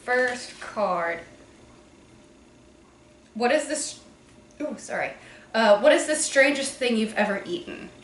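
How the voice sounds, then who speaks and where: A woman in her twenties co-hosting a podcast, chatting easily in short, relaxed replies. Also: fairly high pitch, slow, long pauses. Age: 20s. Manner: easygoing, brief replies. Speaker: podcast co-host. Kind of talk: casual chat. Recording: echoey room, faint noise.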